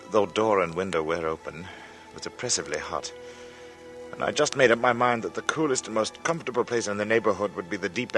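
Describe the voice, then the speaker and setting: Man acting uneasy artist in his thirties, low voice, halting dramatic delivery, 1940s radio play, music behind. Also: close to an everyday voice. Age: 30s. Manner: slow, halting, deliberate, dramatic. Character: uneasy 35-year-old English artist writing his strange testimony. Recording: vintage radio broadcast with music.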